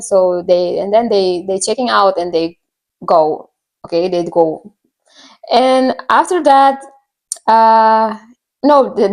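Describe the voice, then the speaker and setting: A woman from Indonesia with an Indonesian accent tells a personal story to viewers casually, often searching for words and repeating herself. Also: fairly high pitch. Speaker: vlogger.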